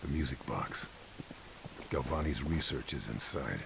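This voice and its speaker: A man voicing a grim lone wartime agent in a video game, terse and brooding, with a gravelly voice and music underneath. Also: rumbling low, animated, measured pace, very noisy, heavily acted. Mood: muttering, focused. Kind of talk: scripted game narration.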